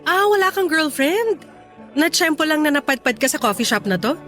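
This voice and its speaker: A woman's voice: sits high, big pitch swings, normal speed, a bit bright, soft background music. An actress playing a wistful, teasing young woman reunited with her ex in a conversational, emotive Tagalog radio drama. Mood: candid, playful.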